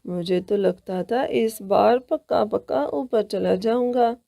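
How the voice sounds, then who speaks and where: A woman plays an ageing male cartoon crow, good-natured and resigned. The delivery is slow and mellow, recorded dry. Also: medium pitch, vocal fry, no room sound.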